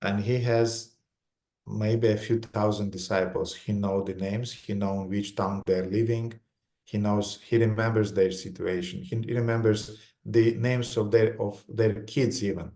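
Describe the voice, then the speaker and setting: Lecturer: man with a Romanian accent, low voice, halting short phrases, echoey room. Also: fairly even intonation, mellow. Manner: halting, pauses between short phrases for interpreter. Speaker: visiting lecturer. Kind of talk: lecture in English with consecutive translation.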